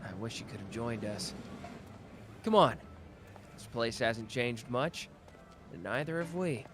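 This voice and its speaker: A gruff loyal mafia guy, slow and soft to the listener, snaps hard at his rival, music in the back. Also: mid-range voice, animated. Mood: warm. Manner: gruff, swearing, quick to snap. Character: hot-tempered protective young mafia man, gruff and loyal. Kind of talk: ASMR roleplay acting.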